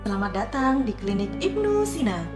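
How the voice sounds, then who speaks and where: A woman's voice: a little high, very animated, moderate pace. A woman reading scripted promotional narration as a voice-over announcer, in an upbeat advertising delivery. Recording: echoey voice-over over loud music. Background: loud music.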